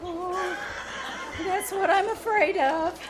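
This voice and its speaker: Woman playing very high-voiced, flustered shy aunt. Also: steady tone, slow, crowd noise. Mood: nervous. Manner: hesitant, stammering, earnest. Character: shy, flustered single aunt coached in flirting. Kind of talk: old-time radio comedy acting. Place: small theater stage, live performance. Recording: noisy live stage recording.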